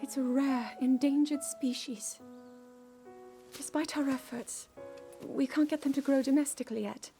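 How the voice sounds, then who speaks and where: An earnest scholarly young princess. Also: female voice, high-pitched. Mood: wistful. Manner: earnest, lecturing, quick to excitement. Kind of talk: video game cutscene acting. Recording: game audio with soft music.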